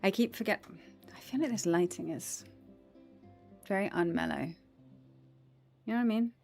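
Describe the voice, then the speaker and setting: A woman with a deep voice, chatting casually on a livestream as a streamer and voice actor, with fillers and frequent laughs. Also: normal speed, gravelly, a little mellow, soft background music. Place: home room, indoors.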